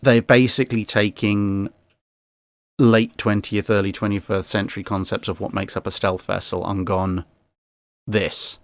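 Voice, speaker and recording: A man with a fairly low voice in a dry, close recording. He talks casually but analytically, weighing points in a measured way as he gives his opinion. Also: little pitch movement, dry recording. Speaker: guest commentator. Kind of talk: casual discussion.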